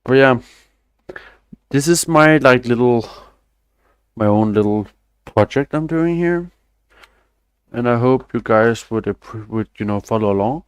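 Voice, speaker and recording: A man's voice: mid-range voice, animated, unhurried, long pauses, clear, resonant tone, dry recording. A young man, chatting loosely on a stream, rambling and swearing casually with self-deprecating asides. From Denmark. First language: Danish.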